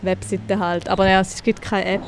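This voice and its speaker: A woman's voice: mid-range voice, level tone, moderate pace, slight background noise. A woman in her twenties with a St. Gallen Swiss German accent, chatting casually as a guest and laughing often. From St. Gallen, Switzerland. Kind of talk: casual conversation.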